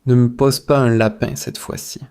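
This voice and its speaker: A man reading language drills in a measured, repetitive way, phrase by phrase, as a teacher for shadowing practice. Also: mid-range voice, flat delivery, darkish tone, smooth.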